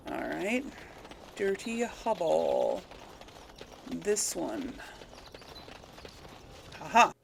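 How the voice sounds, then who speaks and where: A woman's voice: fairly low pitch, gravelly. A woman hosting a casual let's play, chattily thinking aloud as she plays. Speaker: gaming video host.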